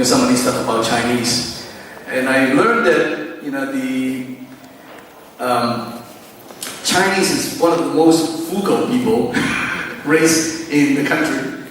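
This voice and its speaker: A man's voice: medium pitch, fairly bright tone, a little hiss or hum. Guy running the interview, says you know a lot, distant echoey sound. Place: large banquet hall. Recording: echoey hall from far off.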